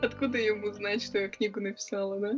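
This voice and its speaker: A woman's voice: medium pitch, level tone, fast talker, highs cut off, faint music behind. A young woman, a writer and club organizer, talking casually as an interview guest, chatty and full of hesitation sounds. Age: young adult.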